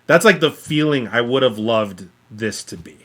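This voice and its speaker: A man co-hosting a podcast, explaining casually in a halting way, often rephrasing himself. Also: mid-range voice, lively intonation, fairly bright tone. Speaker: podcast co-host reviewing films. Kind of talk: casual chat.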